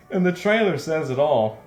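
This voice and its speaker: A man's voice: high voice, darkish tone. A man giving casual let's play commentary as host, self-deprecating and exasperated, often breaking into laughter. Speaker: game streamer, host. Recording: roomy echo, faint music behind.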